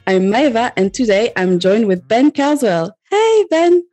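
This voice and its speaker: A woman's voice: on the high side, expressive, a little slow, mellow, faint music behind. A woman hosting a podcast interview in a casual, informal, chatty conversational way. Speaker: podcast host.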